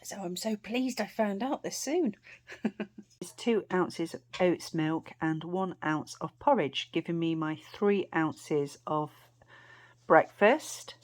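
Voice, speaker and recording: A British woman vlogging casually to camera, chatty and rambling, her voice a bit croaky. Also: on the low side, slow. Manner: chatty, rambling with many fillers and restarts.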